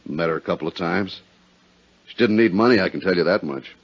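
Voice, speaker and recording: Deep-voiced, hearty radio insurance man. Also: a little fast. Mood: matter-of-fact, insistent. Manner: matter-of-fact, conversational. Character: hearty, plain-spoken male insurance adjuster briefing a friend. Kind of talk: 1950s radio drama dialogue. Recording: dull 1950s radio recording.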